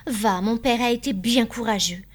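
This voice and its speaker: A woman's voice: a little high, normal speed, voice pushed up, lightly acted. A woman voicing a loving, loyal grown daughter in an audiobook, in an earnest manner. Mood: earnest, tender. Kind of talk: dramatised audiobook dialogue.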